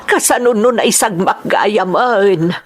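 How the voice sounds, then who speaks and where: Actress doing worried mom of a grown son, radio soap, frets and laments, mid-pitched. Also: female voice, measured pace, natural voice. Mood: anxious. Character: anxious, fretting mother of a grown son. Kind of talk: Philippine audio soap drama.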